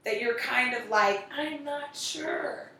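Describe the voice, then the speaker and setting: Casting: chatty American woman, bright voice, caught far off in an echoey room. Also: fairly high pitch, takes it slowly. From United States. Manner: chatty, swears casually, laughs often. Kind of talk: casual chat.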